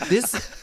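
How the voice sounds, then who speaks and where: A man's voice: fairly bright tone, no echo. A man guesting on a podcast, talking in a rambling, self-deprecating, giggly way. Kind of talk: casual podcast chat.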